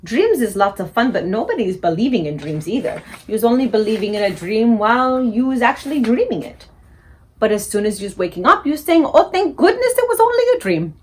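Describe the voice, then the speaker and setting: A woman voicing a big, gentle old male giant who mangles his grammar and invents words. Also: fairly high pitch, very animated, a little fast, husky. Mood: explaining. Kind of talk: audiobook character reading.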